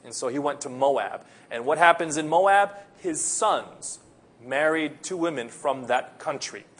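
A man from the Philippines who grew up in America, with an American accent, preaching an earnest sermon as a pastor, slowing down to stress key phrases. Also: mid-range voice, fairly bright tone, husky.

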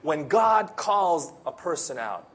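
A man from the Philippines who grew up in America, with an American accent, preaching an earnest sermon as a pastor, slowing down to stress key phrases. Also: fairly high pitch, big pitch swings, fairly bright tone, breathy edge.

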